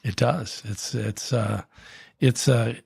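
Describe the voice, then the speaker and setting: A man with a heavily gravelly, husky voice speaks as an interview guest. He talks in a halting, reflective way, circling back and restarting his sentences. His pitch sits in the ordinary middle range for a man. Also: steady tone, darkish tone. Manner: halting, many restarts and fillers. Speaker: coffee company co-founder, guest.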